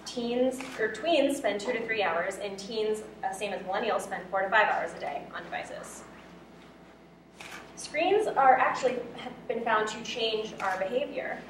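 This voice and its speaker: A woman's voice: fairly high pitch, a little slow, recorded from a distance. Young woman presenting in an echoey room. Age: young adult. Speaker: student presenter. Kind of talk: prepared presentation. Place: lecture room, indoors. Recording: echoey room from afar, faint noise.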